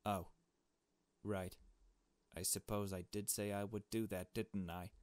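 A man voicing a hesitant, worried young woman in a visual novel, halting and questioning. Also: fairly low pitch, flat delivery, a little mellow, no echo, natural voice. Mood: unsure.

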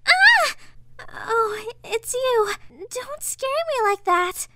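A woman's voice: extremely high pitch, sing-song, pushed and bright, reverberant, full character voice. A woman voicing a sweet, earnest, easily startled schoolgirl in a horror game fan dub, with a girlish voice. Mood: flustered, nervous. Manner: earnest, halting when nervous. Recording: music behind the voice.

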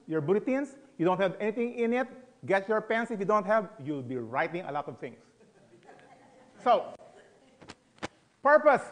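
A man's voice: very high-pitched, fairly bright tone. A middle-aged man teaching a Bible study in a conversational, emphatic way, asking the audience questions. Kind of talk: sermon.

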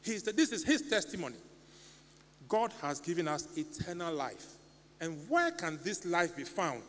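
A man's voice: high voice, moderate pace. A middle-aged man from Ghana with a Ghanaian English accent, preaching a sermon from the pulpit in an earnest, pleading manner. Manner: earnest, pleading, animated hand gestures. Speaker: lay preacher. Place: church sanctuary. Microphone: stand mic at pulpit. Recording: long church reverb, faint hum.

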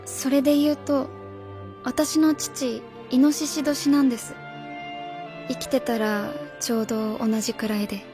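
An actress plays a young female schoolteacher with a high voice. She is earnest, stiff and formal, proud but vulnerable, in a radio drama with light music behind her. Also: fairly even intonation, a little slow. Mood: hesitant. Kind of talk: Japanese radio drama acting.

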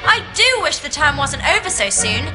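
Game role: keen young British witch pupil, high and animated, earnest. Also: normal speed, over loud music. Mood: eager. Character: eager, studious young schoolgirl witch.

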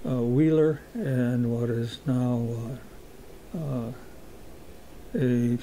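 A man of eighty-seven recalling his youth in a halting way, with many fillers and a dry humor. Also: mid-range voice, steady tone, dark tone, a little hiss or hum, poor audio. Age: 80s. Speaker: interviewee. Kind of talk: oral history recollection.

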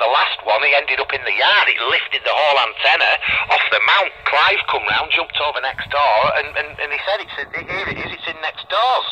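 A man's voice: mid-range voice, sharp tone, breathy edge, muffled recording. A middle-aged man with a Northern English accent chats in a rambling, matey way as an amateur operator over VHF radio. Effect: VHF FM radio transmission. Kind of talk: casual chat over ham radio. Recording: band-limited two-way radio, faint background noise.

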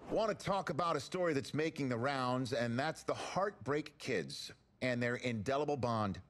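An earnest male sports TV pundit with a mid-pitched voice. He delivers college football commentary for a video game, admiring and emphatic, stacking up phrases for weight. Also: animated, normal speed, a bit bright. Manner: emphatic, rhetorical, builds up in lists.

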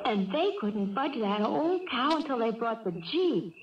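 Sweet folksy southern small town girl, kinda high voice, hesitant, dull old radio drama sound. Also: lively intonation. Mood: folksy storytelling. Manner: folksy, halting. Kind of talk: 1970s radio drama acting. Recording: dull, noisy 1970s radio recording.

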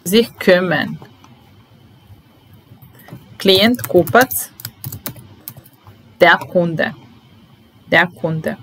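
A young woman drills German words at a very slow, careful pace, her voice a little low for a woman. She repeats each word patiently, speaking into a laptop mic at her desk. A faint hiss of room noise sits under her voice. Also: rough recording. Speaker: German teacher. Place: small indoor room at a desk.

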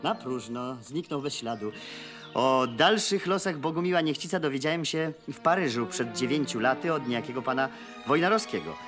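An actor playing a courteous, eager young Polish gentleman in a period television drama, speaking politely and with animation. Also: medium pitch, animated, normal speed, music in the background, close to an everyday voice. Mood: effusive. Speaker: landowner's son.

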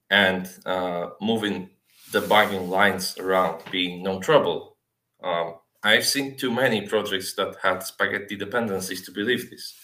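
A man in his thirties giving a technical presentation over a video call, speaking haltingly with frequent filled pauses. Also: fairly low pitch, sharp tone. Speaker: software developer giving a talk. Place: small plain indoor room, on a video call. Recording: roomy, echoey webcam sound.

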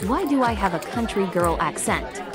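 A woman's voice: mid-range voice, expressive, moderate pace, loud music behind the voice, a loud crowd, close to an everyday voice. Woman as an outraged, swooping country-accented gamer girl. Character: outraged female player stuck with a country girl voice. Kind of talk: scripted gamer dialogue.

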